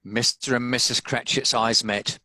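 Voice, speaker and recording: A man's voice: mid-range voice, fairly even intonation, moderate pace, pushed and bright, no room sound. A man reading scripted story narration as the narrator of a dramatic table read.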